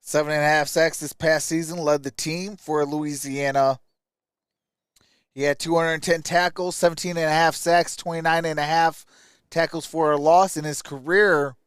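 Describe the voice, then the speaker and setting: Higher-pitched, clear-voiced man hosting a sports stream. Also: fairly even intonation, normal speed, no echo, studio quality. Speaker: live stream host. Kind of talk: casual live draft commentary.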